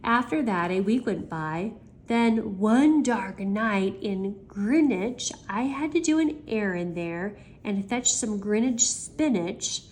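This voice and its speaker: A woman's voice: mid-range voice, lively intonation, takes it slowly, a little mellow. An older woman, a grandmother reading children's stories aloud expressively, with chatty asides to her young listeners.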